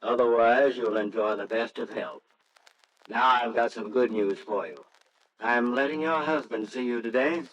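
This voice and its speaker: An actor playing an earnest, kindly man in an old radio melodrama, gentle and reassuring. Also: medium pitch, moderate pace, muffled recording, close to an everyday voice. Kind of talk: old-time radio drama acting. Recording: noisy old radio recording.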